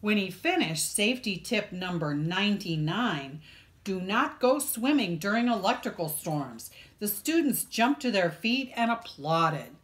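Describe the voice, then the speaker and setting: Slow-paced woman reading a children's story. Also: mid-range voice, sing-song. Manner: deliberate, dramatic emphasis on key words. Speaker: children's librarian reading a picture book. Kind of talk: expressive read-aloud storytelling for children.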